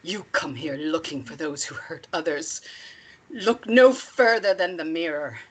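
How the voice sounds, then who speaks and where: A woman's voice: deep voice, unhurried, husky. A woman playing a stern, vengeful, commanding woman who protects wronged children, in a screenplay table read. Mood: menacing, furious.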